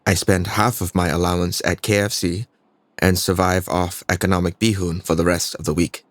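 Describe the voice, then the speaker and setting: A man's voice: deep voice, little pitch movement, normal speed, dry recording, close to an everyday voice. A young man performing a scripted dramatic monologue as a troubled, introspective student telling his own life. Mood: reflective.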